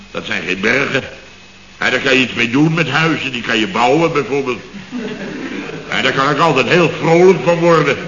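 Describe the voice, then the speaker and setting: An actor playing a pompous, boastful building-firm boss in a 1960s radio comedy, rambling and self-satisfied. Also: male voice, medium pitch, a bit bright, lightly acted. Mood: rambling musing. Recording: dull, echoey old radio studio recording.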